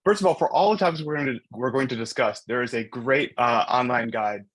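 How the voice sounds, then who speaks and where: A man talking on a video call in an easygoing, enthusiastic way. His pitch sits in an ordinary middle range, and he fills his talk with casual filler words as he explains. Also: a little fast, fairly bright tone. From based in San Diego. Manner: enthusiastic, loose with many fillers. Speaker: iOS developer and event facilitator. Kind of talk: casual online panel talk.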